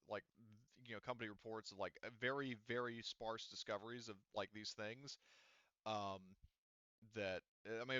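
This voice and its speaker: A man's voice: on the low side, pushed and bright, smooth, dry recording. A man running a tabletop game as game master, explaining at length with many fillers and restarts. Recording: dull lo-fi sound.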